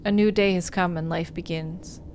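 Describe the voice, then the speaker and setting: A woman with a slightly low voice streams a visual novel playthrough. She speaks like an expressive storyteller, stumbling a little as she reads. Some background noise and ambience sits behind her. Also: moderate pace. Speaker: game streamer. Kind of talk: livestream playthrough, reading visual novel narration aloud.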